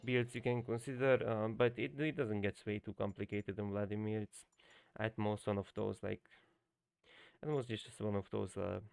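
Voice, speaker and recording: A man's voice: on the low side, fairly even intonation, moderate pace, creaky, clean tone. A young man whose first language is Hungarian, coaching on a live stream in loose, rambling talk full of fillers and restarts. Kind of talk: casual live-stream commentary.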